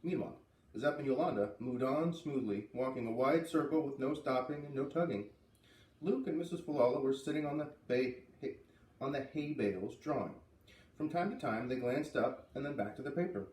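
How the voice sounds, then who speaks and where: A man reading a children's book aloud expressively, with dramatic pauses and emphasis. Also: medium pitch, darkish tone. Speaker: storybook reader. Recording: roomy, slightly distant, faint background noise.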